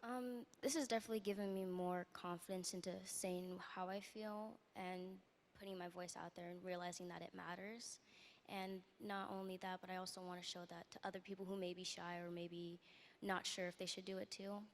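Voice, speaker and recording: A woman's voice: lowish voice, fairly even intonation, normal speed. A young woman in her teens sharing personal experience informally as a student panelist. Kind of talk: panel discussion, personal storytelling. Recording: noisy room, faint background noise.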